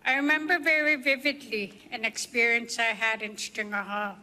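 An older woman from the Canadian Arctic, a guest speaker giving personal testimony in slow, measured storytelling. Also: a little high, fairly even intonation, sharp tone, long reverb tail, rough recording.

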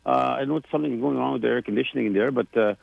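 A man's voice: medium pitch, racing, creaky, dry recording, phone-quality sound. A man in his sixties chatting casually on the radio, rambling with many fillers and restarts. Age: 60s. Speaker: president of a government, radio guest.

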